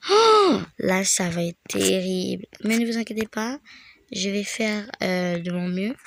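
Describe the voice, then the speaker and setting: A woman's voice: medium pitch, gravelly, slight background noise. A woman with a head cold, rambling through a casual game tutorial and dropping into whispered asides. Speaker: gaming video creator.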